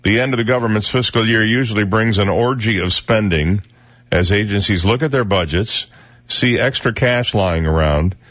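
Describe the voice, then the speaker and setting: A man's voice: lowish voice, normal speed, a bit bright, no room sound. A man hosting a casual morning radio talk show, wry and conversational with lots of filler words, sounding a little stuffed up in the nose. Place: radio studio. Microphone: studio microphone.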